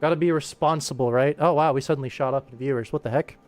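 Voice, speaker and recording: A young man with a mid-pitched voice talks casually as an animator streaming his work. He rambles, jokes and pokes fun at himself as he goes. Music plays behind him. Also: fast talker. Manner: rambling, self-deprecating, jokey. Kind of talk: casual livestream chat while working. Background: background music.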